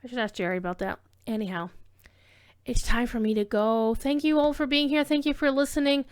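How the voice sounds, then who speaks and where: A woman's voice: a little high, fairly even intonation, brisk, clear, resonant tone. A woman livestream host chatting casually to her viewers in a rambling, informal, effusive way.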